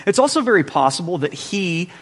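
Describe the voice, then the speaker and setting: A man's voice: high-pitched, very animated, fairly quick, a bit bright. Man teaching Bible in a reverberant hall. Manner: conversational, checks in with the listeners.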